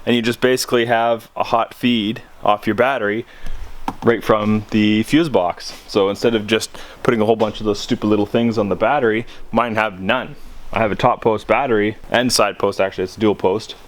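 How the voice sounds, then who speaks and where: Low-voiced man, casual hands-on vlogger. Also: fairly even intonation, a little fast. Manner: rambling, informal, slangy explanations. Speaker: vlogger showing his truck radio install. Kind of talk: casual hands-on vlog walkthrough. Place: in and around a parked truck.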